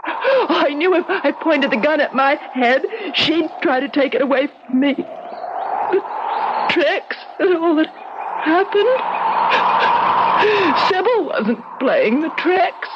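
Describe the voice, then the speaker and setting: A woman's voice: sits high, expressive, slow. An actress playing a hysterical, guilt-ridden murderess haunted by her crimes, breathless and overwrought in old-time radio melodrama style. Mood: anguished confession. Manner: breathless, sobbing, overwrought delivery. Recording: muffled, very noisy vintage radio recording.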